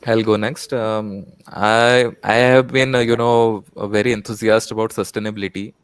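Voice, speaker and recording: Male panel guest, lowish flat intonation, thoughtful and unscripted. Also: moderate pace, clear, resonant tone, dry recording.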